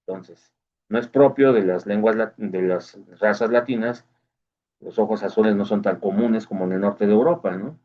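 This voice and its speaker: A man's voice: lowish voice, a little mellow. Man explaining, lo-fi sound. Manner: explanatory, asks "¿no?" often. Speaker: host. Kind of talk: casual opinion monologue. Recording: dull lo-fi recording.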